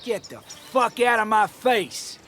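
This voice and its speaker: High, swooping, sneering young-punk delivery. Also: male voice, lively intonation, fairly bright tone, faint music behind, exaggerated voice. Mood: hostile, sneering. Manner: sneering, aggressive, drawn-out. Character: volatile, sneering, foul-mouthed male troublemaker. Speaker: hostile local character. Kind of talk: video game dialogue.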